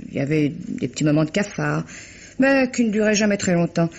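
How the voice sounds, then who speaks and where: An actor playing a courteous, talkative clinic staffer giving careful, explanatory testimony in a radio drama. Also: male voice, high-pitched, expressive, vocal fry, darkish tone, a little hiss or hum. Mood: earnest, explanatory. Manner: measured, hesitant, polite, explanatory. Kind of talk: 1950s French radio drama acting. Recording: muffled old radio recording.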